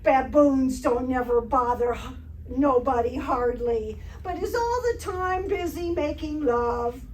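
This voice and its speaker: A woman playing a witty, sardonic high school English teacher in an amateur stage re-creation of a radio comedy, with dry delivery. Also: a little slow. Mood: amused, sarcastic. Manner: dry, wry, sarcastic. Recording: roomy distant stage recording, faint noise.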